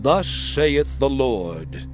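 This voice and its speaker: An actor playing a stern, forceful Hebrew prophet in a dramatized scripture reading, declaiming deliberately and solemnly. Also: male voice, mid-range voice, very animated, over music. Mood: stern, proclaiming.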